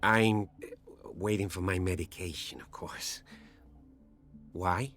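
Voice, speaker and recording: A man's voice: deep voice, takes it slowly, fairly bright tone. An actor playing a troubled, sleepless, self-conscious male patient in a video game, speaking hesitantly and broodingly. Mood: awkward, defensive. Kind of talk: video game cutscene acting.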